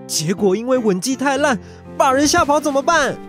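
一名男演员在广播剧里饰演天真迟钝、随和亲人的年轻少爷，语气真诚。. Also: male voice, very high voice, expressive, normal speed, fairly bright tone, faint music behind. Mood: earnest, anxious. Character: naive, oblivious, boyish young master, affectionate and easygoing. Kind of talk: Chinese audio drama acting.

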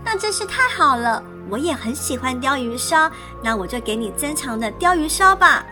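Woman putting on a high, genteel shopkeeper lady. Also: expressive, normal speed. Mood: delighted. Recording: music behind the voice.

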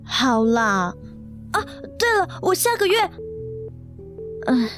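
A woman's voice: sits high, big pitch swings, slow, a bit bright, faint music behind, exaggerated voice. A woman acting an eager, bashful young office employee in a radio drama. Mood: bashful, grumbling. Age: young adult.

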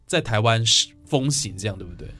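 A man's voice: fairly low pitch, animated, a little fast, sharp tone, soft background music. A man with a Taiwanese Mandarin accent hosts an interview, recapping what guests say and checking that they agree. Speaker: programme host. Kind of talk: studio interview.

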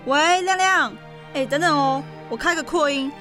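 A woman's voice: sits high, normal speed, bright, forceful tone, faint music behind. A woman playing an anxious, sincere young daughter in an audio drama, with a Taiwanese Mandarin accent and a pleading manner. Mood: casual. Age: young adult. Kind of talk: audio drama acting with reflective narration.

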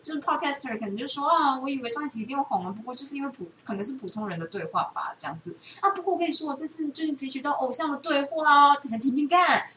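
Woman as chatty male amateur podcaster, sheepish, self-excusing, Taiwanese Mandarin accent, quick, distant mic. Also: high-pitched, expressive, bright, forceful tone, voice pushed up. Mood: eager, gossipy. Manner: casual, rambling self-justification. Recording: roomy, distant, hissy home recording.

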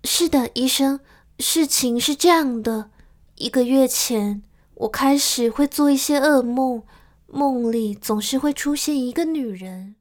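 Woman acting high-voiced anxious young noblewoman. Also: unhurried. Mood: troubled narration. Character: anxious, frail young noblewoman, a daughter narrating. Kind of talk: audio drama acting and first-person narration.

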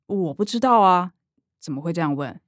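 A woman's voice: lowish voice, animated, fairly quick, dry recording, studio quality, lightly acted. A woman playing a chatty, joking middle-aged mother in a radio drama, bustling and exclaiming with a Taiwanese accent. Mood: gossipy.

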